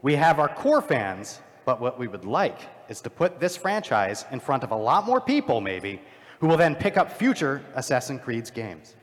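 A man's voice: mid-range voice, very animated, normal speed. A man talking casually and jokingly as a panelist at a live event, on a stage microphone. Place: large convention panel room. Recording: live convention hall, long reverb.

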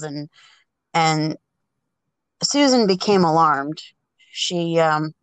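Unhurried woman improvising a story, hesitant. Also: lowish voice, no echo. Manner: hesitant, halting, groping for words. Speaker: storyteller on a podcast.

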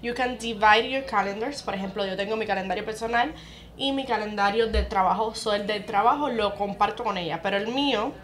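A woman from Cuba, co-hosting a podcast in a casual chat and explaining things quickly. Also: medium pitch, fairly bright tone, a little hiss or hum. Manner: quick, explanatory, mixes English phrases into her Spanish. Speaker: podcast co-host.

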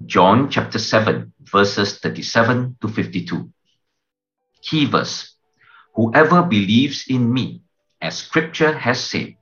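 Slow, low-voiced man reading a devotional. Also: a bit bright, muffled recording. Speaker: devotional reader.

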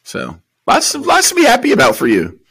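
A man's voice: very high voice, wildly swooping pitch, normal speed, a bit bright, breathy edge. A man co-hosting a podcast, casually analysing sports in slangy speech, asking questions and thinking aloud.

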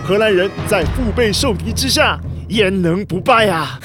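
A man acting in a radio drama as a scheming, flattering informer with an oily, sneering manner. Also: high voice, moderate pace, over music. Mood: scornful, boastful. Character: scheming, sycophantic male informer with a silver tongue.

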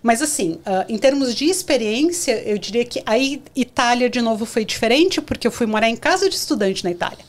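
A middle-aged woman from southern Brazil with a gaúcho accent, a podcast guest telling lively stories in casual chat. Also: a little high, fairly quick, few pauses. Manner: animated storyteller, acts out scenes. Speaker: guest, career counselor.

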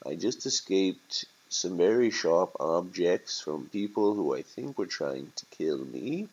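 A man's voice: sits low, animated, slow, a little mellow, slightly rough, dull audio, full character voice. A man voicing a lost, naive, frightened turkey in a story, speaking hesitantly and plaintively. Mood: bewildered.

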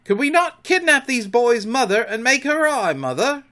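A man voicing an eager, deferential pirate crewman, excitable and anxious to please, in a storybook reading. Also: extremely high pitch, normal speed, pitched above the performer's own voice, full character voice.

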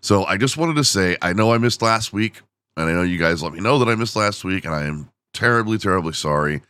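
Very deep-voiced man, losing his voice. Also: lively intonation, a little fast, pushed and bright, smooth, dry recording. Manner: rambling, self-deprecating, laughs often. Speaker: podcast host. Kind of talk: casual solo podcast chat.

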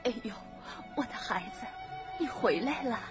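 A woman acts out an elderly mother crow, frail and loving, with a high, sighing voice that rises and falls. It is children's radio drama with music underneath. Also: animated, normal speed, lightly acted. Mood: tender, weary. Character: old, frail mother crow, tender and worried.

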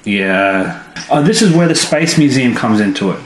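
A man's voice: expressive, normal speed. A man co-hosting a podcast, chatting casually and playfully about a TV episode, sometimes jumping into silly voices. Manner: playful, mimics characters with sudden jumps in pitch. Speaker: podcast co-host. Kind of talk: casual chat reviewing an episode. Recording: echoey room, faint background noise.

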